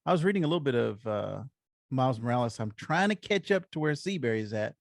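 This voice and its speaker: A man's voice: medium pitch, lively intonation, fast talker, vocal fry, no echo, studio quality. A man with an American accent chatting in an easygoing, casual way as a podcast co-host. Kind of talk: casual chat.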